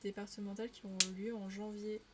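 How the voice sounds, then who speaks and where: A woman's voice: mid-range voice, little pitch movement, a little fast. A young woman from the Paris region chatting casually on a live stream while she colors, often laughing and answering viewers' messages. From Paris region, France.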